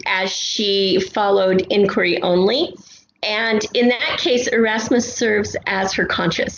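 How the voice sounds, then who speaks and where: A woman speaking as a writer in a casual interview, explaining her ideas at length in reflective, articulate terms. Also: medium pitch. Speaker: audio drama writer and author guest. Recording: dull, roomy sound with faint noise.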